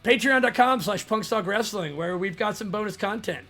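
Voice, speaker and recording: A slightly hoarse man co-hosting a wrestling podcast, chatty as he reads out shout-outs and plugs. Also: sits high, normal speed, soft background music. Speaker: podcast co-host. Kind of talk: casual wrestling podcast chat.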